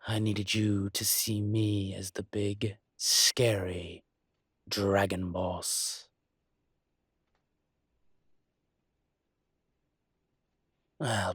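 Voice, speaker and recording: A man playing a teasing, smug but protective dragon shifter mafia boss in an intimate roleplay audio, speaking in a measured, deliberate way with dramatic pauses. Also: on the low side, fairly bright tone, breathy edge, no echo, clean studio sound, heavily acted.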